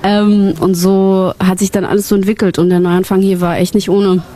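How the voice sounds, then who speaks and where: A woman's voice: mid-range voice, flat delivery, normal speed, mellow. A woman born in Ukraine, a singer and songwriter, talking openly as an interview guest with lots of fillers. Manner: chatty, many fillers, open and personal. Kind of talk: casual personal interview.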